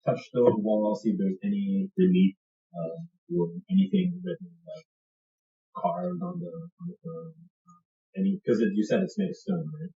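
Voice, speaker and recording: A man's voice: fairly high pitch, very animated, moderate pace. A man taking part as a player in a casual tabletop roleplaying session. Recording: roomy, dull lo-fi sound.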